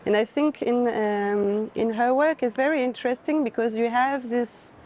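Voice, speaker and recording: A woman's voice: on the high side, moderate pace, darkish tone. A woman curator who is not a native English speaker, talking casually about art and often searching for words. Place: art fair, on the move. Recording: noisy busy fair ambience.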